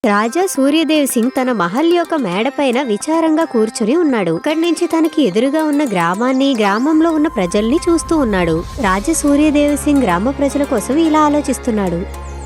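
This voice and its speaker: A woman reading scripted narration for an animated moral story as its storyteller. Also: high voice, brisk, soft background music.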